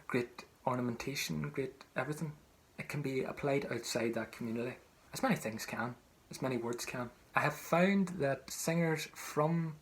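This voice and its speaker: A man with a Northern Irish accent from Tyrone gives an informal, conversational lesson as a singing teacher, explaining as he goes. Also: medium pitch. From County Tyrone, Northern Ireland.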